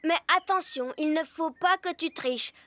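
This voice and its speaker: A woman's voice: high voice, a little slow, bright, forceful tone, dry recording. A woman voicing a playful, cheeky little elf boy in a cartoon. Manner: boyish, playful. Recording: music behind the voice.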